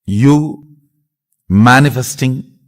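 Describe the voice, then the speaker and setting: A man with an Indian accent giving a spiritual discourse as a guru, speaking emphatically in halting, short, repeated phrases. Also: medium pitch. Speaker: spiritual guru teaching a course.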